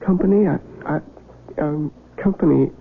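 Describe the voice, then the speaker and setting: Confused young soldier, country talk, stammers a lot, gravelly, old radio hiss. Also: male voice, fairly high pitch, normal speed. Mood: dazed. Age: young adult. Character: dazed young wounded soldier who lost his memory. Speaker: narrator of his own story. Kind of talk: 1970s radio drama acting. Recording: tinny old radio broadcast with loud hiss.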